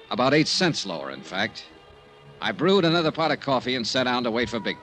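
A man's voice: medium pitch, a bit bright. An actor playing a weary, hardboiled male investigator in an old-time radio mystery, wry and clipped, also narrating in the first person. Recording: noisy old radio drama recording.

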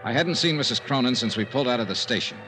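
An actor playing a hard-boiled, dryly wisecracking investigator in an old-time radio mystery. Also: male voice, medium pitch, expressive, fast, over music, natural voice. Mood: suspicious. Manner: dry, wisecracking. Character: wry, hard-boiled male investigator narrating his case. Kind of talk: 1950s radio detective acting and narration.

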